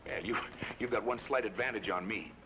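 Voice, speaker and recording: An actor playing a wary, wry American cowhand, in 1950s radio style. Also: male voice, lowish voice, brisk, background noise, natural voice. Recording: dull old radio broadcast with music.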